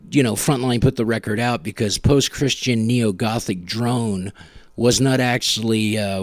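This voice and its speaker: A middle-aged man casually hosting a music show, talking in a wry, self-deprecating, halting way. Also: mid-range voice, dry recording, studio quality. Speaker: podcast host and musician.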